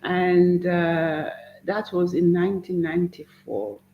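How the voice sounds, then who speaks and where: Slow, gravelly woman doctor. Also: on the low side, lively intonation, a little hiss or hum. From Congo. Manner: halting, with many pauses and fillers. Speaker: doctor and guest. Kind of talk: personal account in an interview. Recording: roomy, dull lo-fi call line.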